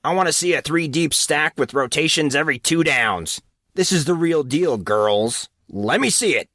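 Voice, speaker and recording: A man acts out a bossy male football coach from a storybook. He is gruff and sarcastic, handing out orders with a mocking bark. The sound is close and dry. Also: fairly high pitch, lively intonation, moderate pace, fairly bright tone, no echo, voice pushed up. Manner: barking, sarcastic commands. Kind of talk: audiobook character acting.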